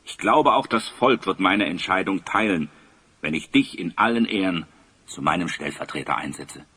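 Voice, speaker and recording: An actor playing a just, dignified new regent in a fantasy audio play, speaking in stately declamation. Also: male voice, deep voice, lively intonation, normal speed, sharp tone, breathy edge, heavily acted. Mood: forgiving, grave.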